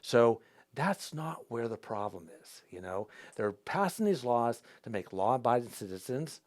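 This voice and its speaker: Man, host, casual talk, lively pitch, stammering restarts, dry close sound. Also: no room sound. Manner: halting, repeats words as he restarts, lively rises in pitch.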